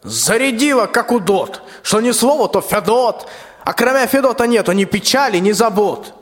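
A man's voice: high voice, expressive, normal speed. A young man playing a grumpy, sly tsar and exasperated father in a rhymed radio play, drawling querulously. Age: young adult. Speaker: school student actor. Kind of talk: theatrical verse radio play. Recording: long hall reverb.

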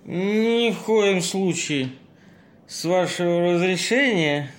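A man's voice: high voice, expressive, slow. An actor playing a dignified homeless man with a pompous, formal and businesslike manner in an amateur audio play. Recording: roomy echo, noisy birdsong ambience.

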